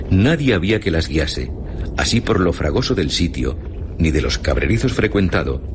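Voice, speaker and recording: A man with a very deep voice and a Castilian accent reads literary narration for a radio play. His storytelling is measured. Clear ambient noise runs behind him. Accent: Castilian Spanish.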